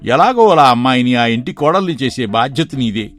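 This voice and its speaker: A man acts out an earnest, poor middle-aged village father in a moral story. His pitch is animated and swings widely, and his manner is deferential. Light music sits behind the voice. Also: moderate pace, natural voice. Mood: humble.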